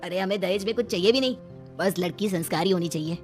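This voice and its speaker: A woman's voice: on the low side, fast, natural voice. Middle-aged husband for cartoon family tale, female performer, familiar, ordering manner, light music. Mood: agreeable. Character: hospitable, impatient middle-aged husband and father.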